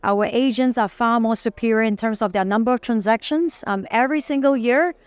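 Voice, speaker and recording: A woman, a company CEO pitching her business in an interview, polished but with frequent fillers. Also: brisk, clean tone, dry recording. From Singapore. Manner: polished, promotional, uses many fillers.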